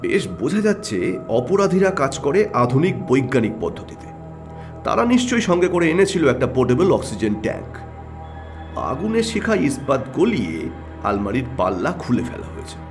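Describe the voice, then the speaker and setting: An actor playing a composed, shrewd private detective in an audio drama, speaking in a measured, probing way. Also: male voice, mid-range voice, very animated, over music. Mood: thoughtful. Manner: measured, probing, terse questions. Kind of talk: Bengali audio story acting.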